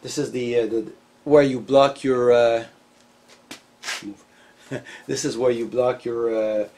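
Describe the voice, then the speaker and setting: A middle-aged man casually explaining shop work as a hobby machinist, in a halting, hands-on way. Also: medium pitch, steady tone, mellow. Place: cluttered garage machine shop. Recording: noisy workshop ambience.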